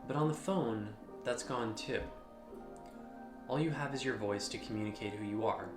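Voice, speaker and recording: A man giving a scripted explainer in a measured, instructional manner, speaking directly to the listener. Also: fairly low pitch, roomy sound. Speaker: host of a mental health explainer channel. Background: background music.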